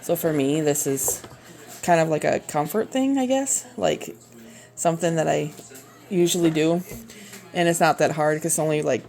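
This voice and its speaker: A woman in her thirties with a Minnesota accent, chatting casually and a bit haltingly while she chops vegetables in her home kitchen, recorded on a propped-up phone. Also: low-pitched, vocal fry, a little mellow. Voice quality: rambling, hesitant with many fillers. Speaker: homeschooling mom and cooking vlogger. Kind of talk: casual cooking video. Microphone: phone propped up on the counter.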